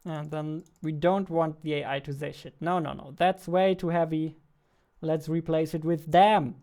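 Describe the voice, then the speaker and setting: A man's voice: fairly high pitch, steady tone, moderate pace, a little mellow. A man in his twenties, a game developer, muttering to himself in short fragments as he casually works through code. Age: 20s. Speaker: indie game developer, host. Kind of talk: casual thinking-aloud coding devlog. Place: indoors at a computer desk. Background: faint music, keyboard typing and clicking.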